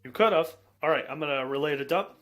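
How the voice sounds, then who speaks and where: A man's voice: on the high side, fast talker, a bit bright. A man narrating and improvising a tabletop fantasy game as game master.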